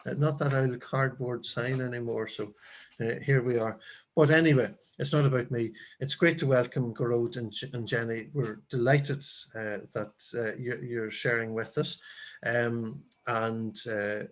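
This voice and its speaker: An Irish man hosting a casual chat while making pancakes, talking haltingly with many ums and restarts. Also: medium pitch, steady tone. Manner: hesitant, stammering, lots of fillers. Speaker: host.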